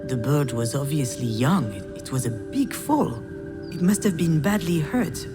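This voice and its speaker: Low-voiced reflective woman narrating over music. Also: lively intonation, measured pace. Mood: regretful, reflective. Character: reflective adult woman recalling childhood memories, quietly troubled. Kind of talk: introspective scripted narration in a visual novel. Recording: music behind the voice.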